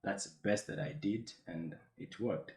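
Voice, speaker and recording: A young man from Tanzania, a guest telling his story in a relaxed, chatty way. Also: lowish voice, unhurried, echoey room. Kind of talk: casual interview chat.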